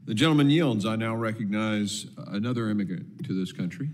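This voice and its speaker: A man's voice: fairly low pitch, brisk. A man chairing a committee hearing, making formal procedural announcements. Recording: distant voice in a reverberant hearing room.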